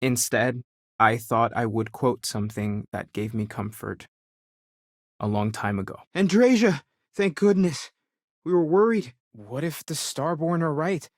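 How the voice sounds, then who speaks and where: A man's voice: on the low side, unhurried, no echo, studio quality. A young man acting an earnest, curious, spiritually searching space explorer in a video game, thoughtful and sincere in his delivery. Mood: grieving, subdued.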